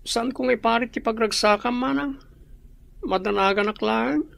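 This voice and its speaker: A woman acting as a worried, deferential younger sibling in an Ilocano radio drama, asking anxious questions in a creaky voice. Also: fairly high pitch, moderate pace, a bit bright.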